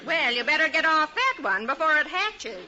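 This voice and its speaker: A woman with an American accent playing a polite, amused, gently teasing straight woman in 1940s radio comedy. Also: high voice, big pitch swings, normal speed, a loud crowd. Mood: amused, wry. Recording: muffled, noisy old radio recording, audience behind.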